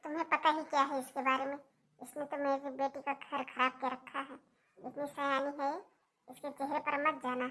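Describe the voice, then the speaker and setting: A woman voicing a bossy, taunting older mother-in-law in a cartoon family drama, with a sharp, nagging manner. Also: sits high, flat delivery, normal speed. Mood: spiteful.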